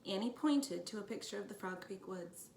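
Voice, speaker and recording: A woman hosting a children's storytime, reading aloud expressively and deliberately, with casual asides. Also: medium pitch, expressive, vocal fry, a little mellow. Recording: roomy, distant mic, faint background noise.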